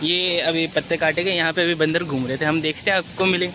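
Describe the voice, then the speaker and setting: A man's voice: on the high side, steady tone, a little fast. A young man joking with his friend on a college campus, teasing and hamming up news-style bits. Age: young adult. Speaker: vlogger's friend, co-host. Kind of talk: casual vlog banter. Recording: noisy outdoor camera audio.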